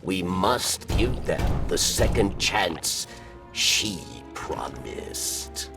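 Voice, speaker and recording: An actor playing a solemn, earnest alien rebel leader in a video game, growling in halting, formal phrases. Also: male voice, low voice, big pitch swings, distant mic, music in the background, full character voice. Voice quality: gravelly growl. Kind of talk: video game character dialogue.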